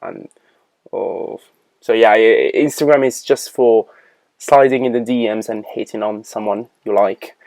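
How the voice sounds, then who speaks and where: A man from Italy with a British-tinged accent, hosting a casual podcast chat, animated and prone to stammering restarts and easy laughs. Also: lowish voice, unhurried, creaky, dry recording. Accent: British-tinged English. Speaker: podcast host.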